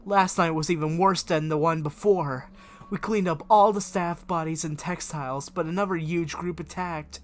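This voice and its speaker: A man's voice: a little high, fairly even intonation, normal speed, slight background noise. A man reading a diary-style horror story aloud as scripted narration, with expression. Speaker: fan fiction reader and video creator.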